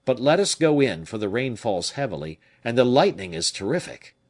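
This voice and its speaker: A man's voice: mid-range voice, sing-song, moderate pace. A man reading an audiobook, voicing a blunt, shrewd male musketeer captain who speaks frankly and with animation. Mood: brisk.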